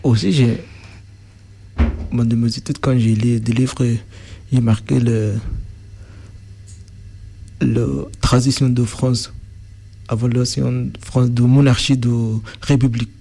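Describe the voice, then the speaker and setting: A man's voice: mid-range voice, level tone, takes it slowly, gravelly, long pauses, mellow, a little hiss or hum. A young man from Sudan, a native Arabic speaker, answering interview questions in French with frequent restarts as he searches for words.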